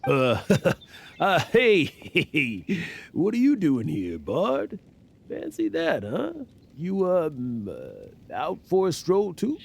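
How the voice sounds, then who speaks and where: A man's voice: a little high, huge pitch swings, extremely slow, creaky, breathy edge, exaggerated voice. A man playing a boastful but shifty, nervous adventurer in a video game, full of hesitant bluster. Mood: flustered. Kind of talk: English video game voice acting.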